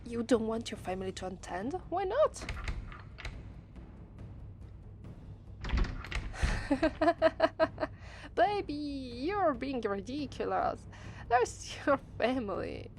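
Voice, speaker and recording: A woman's voice: high-pitched, very animated, takes it slowly, noisy background, exaggerated voice. A woman with an Italian accent voicing an affectionate, cheerful young demon princess bride in an ASMR roleplay. She giggles often and gets flustered easily. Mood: teasing. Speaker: voice actress.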